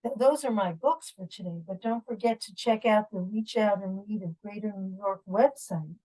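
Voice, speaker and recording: A woman's voice: mid-range voice, moderate pace, mellow, low-quality recording. A woman storyteller reading and talking informally to families, explaining things directly to young listeners. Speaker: read-aloud storyteller. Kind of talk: picture book narration and informal address to families.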